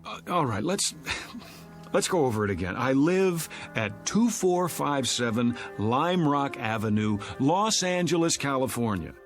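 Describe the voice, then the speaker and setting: A man's voice: medium pitch, animated, unhurried, soft background music, natural voice. A man acting as an indignant forty-year-old fighting to prove who he is, with an agitated, sarcastic dramatic delivery. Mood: exasperated. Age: 40s. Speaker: actor.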